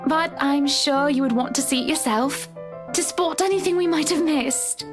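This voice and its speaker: A woman's voice: high-pitched, normal speed, over music, close to an everyday voice. A woman playing a chatty, self-assured wealthy wife in a dramatic visual novel, with a breezy, assertive manner. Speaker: game character.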